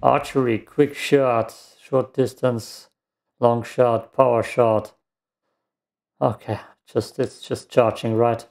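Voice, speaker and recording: A man's voice: medium pitch, flat delivery, slow pace, gravelly, darkish tone. A man in his thirties with a German accent, casually commenting on a game he is playing, muttering reactions to himself. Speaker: let's play gamer.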